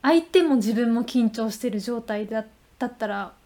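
A young adult woman co-hosting a podcast, telling stories in a chatty, animated way in casual conversation. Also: fairly high pitch, moderate pace. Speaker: podcast co-host. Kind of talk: casual chat.

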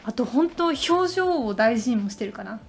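A young woman co-hosting a casual radio talk. Her voice sits a little high, and she talks in a playful, joking way over music. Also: lively intonation, normal speed, creaky, a little mellow. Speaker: radio co-host. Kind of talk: casual chat.

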